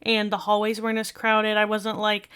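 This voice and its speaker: A woman's voice: medium pitch, completely flat delivery, moderate pace, a bit bright, clear, resonant tone. A woman talking casually while reacting to a video, rambling and full of fillers. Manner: chatty and rambling, many fillers. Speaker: video creator.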